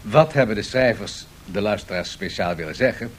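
A man's voice: animated, normal speed. A man posing questions in a polite, orderly way in a radio play discussion. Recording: 1970s radio recording with faint rumbling noise.

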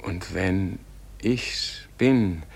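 An actor playing a frail, weary and bewildered old man in a radio play, speaking in halting, broken phrases. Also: deep voice, gravelly, darkish tone, husky, full character voice. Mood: awed, searching. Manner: slow, halting delivery in short broken phrases. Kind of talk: German radio play acting.

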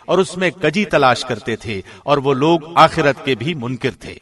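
A man reading a scripture translation aloud from a script in a formal, measured way, as a radio presenter would. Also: mid-range voice, expressive, fairly bright tone. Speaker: translation reader, radio host.

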